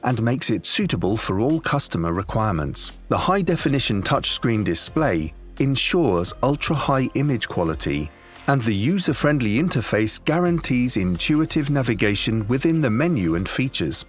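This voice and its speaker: A man reading scripted corporate product narration in a measured, formal presentational manner. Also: mid-range voice, very animated, faint music behind. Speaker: promotional video narrator.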